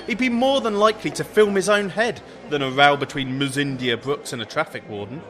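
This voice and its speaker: Man playing a high-pitched, gossipy pub mate. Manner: blunt, incredulous. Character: loyal, gossipy, scornful male pub friend. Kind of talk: radio comedy acting.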